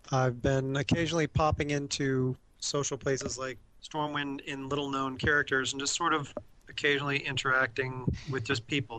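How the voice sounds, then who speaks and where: A man's voice: mid-range voice, bright, forceful tone, rough recording. A man chatting casually as a podcast panelist. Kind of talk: casual chat. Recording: faint noise behind the voice.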